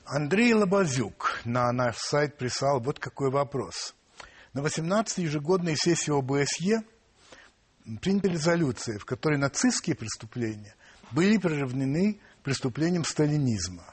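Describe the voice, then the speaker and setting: A man's voice: fairly high pitch, expressive, normal speed, husky. A man hosting an interview, reading out website questions and giving brief assents. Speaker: interviewer, host.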